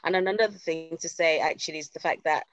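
A woman's voice: low-pitched, fast, dull audio. A woman from Nigeria who now lives abroad, reflecting casually and laughing easily. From Nigeria, now living abroad. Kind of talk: casual podcast conversation.

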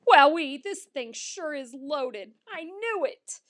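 An overexcited storybook dog, acted out by a woman, greedy and naive. The voice leaps up high and swoops around, gushing in bouncy exclamations. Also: big pitch swings, unhurried, dry recording, clean studio sound, exaggerated voice. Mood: greedy delight. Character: excitable, greedy young male pet dog, eager and naive.

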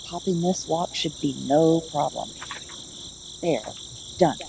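A woman playing a wary, skeptical female sidekick with an expressive, questioning delivery in an audio play. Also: low voice, takes it slowly, vocal fry, exaggerated voice. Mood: tense readiness. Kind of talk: amateur audio play acting. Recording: steady noise behind the voice.

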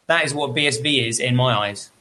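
A man's voice: mid-range voice, a little fast, pushed and bright. A man appearing as a guest on a talk show, earnestly explaining with frequent fillers. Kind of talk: casual talk show discussion.

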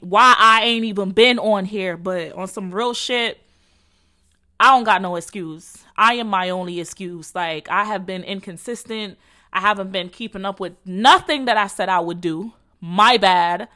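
Bright-voiced Jamaican woman, candid chat. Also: mid-range voice, unhurried. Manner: candid, profane, slangy and rambling. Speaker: podcast host. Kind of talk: casual solo chat to followers.

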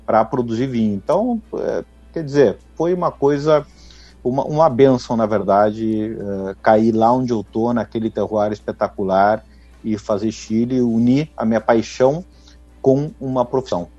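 A middle-aged Brazilian man speaks in a mellow, warm tone, measured and explanatory, often tagging his points with a quick question. The sound is dry and slightly dull, with faint music underneath. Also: medium pitch, slightly rough, no room sound. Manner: measured, explanatory, with frequent "né?" tags. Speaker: winemaker guest. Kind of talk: radio interview.

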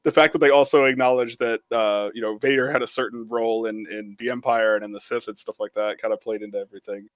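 A man's voice: medium pitch, fairly even intonation, fast talker, few pauses, no echo. A man chatting casually as a podcast panelist, with a giggly way of retelling things. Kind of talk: casual chat.

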